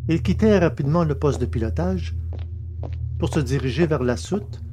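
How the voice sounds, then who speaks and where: A man's voice: on the high side, lively intonation, normal speed, dark tone, a little hiss or hum. A man narrating a scripted science-fiction adventure story as its dramatic narrator.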